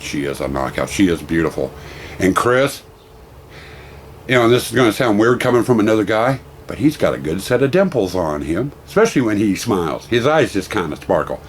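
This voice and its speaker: A middle-aged man vlogging casually about a weekend convention. He has a hoarse voice from losing it, and he rambles warmly, full of thanks. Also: sits low, a little hiss or hum. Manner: rambling, effusive, grateful. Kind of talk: casual vlog talk.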